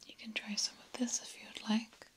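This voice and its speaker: A woman playing a vain, menacing yet polite vampire countess in a whispered ASMR roleplay, speaking formally and deliberately. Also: medium pitch, little pitch movement, lightly acted. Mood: attentive, soothing. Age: young adult. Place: small dark indoor set, close to camera. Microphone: close ASMR microphone.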